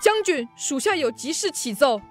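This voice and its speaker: A woman's voice: sits high, very animated, moderate pace, faint music behind. A teenage girl playing an earnest, patriotic male soldier in a student radio drama, speaking formally. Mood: urgent.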